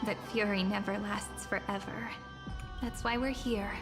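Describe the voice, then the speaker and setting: Soft, earnest young companion, played by a woman, over game music. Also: medium pitch, fairly even intonation, unhurried, lightly acted. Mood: gentle.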